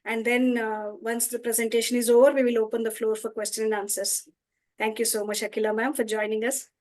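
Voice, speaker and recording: A woman's voice: on the high side, flat delivery, brisk, darkish tone. A woman with an Indian English accent introducing a guest speaker in a polite, formal way, a little away from the mic. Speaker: host.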